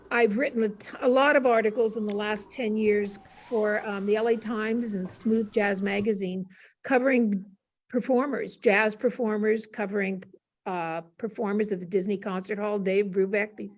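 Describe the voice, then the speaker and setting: An older woman speaking casually and playfully as she shares anecdotes on a panel, with a chatty, self-deprecating manner. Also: medium pitch. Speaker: panel guest, university professor. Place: classroom. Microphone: video call.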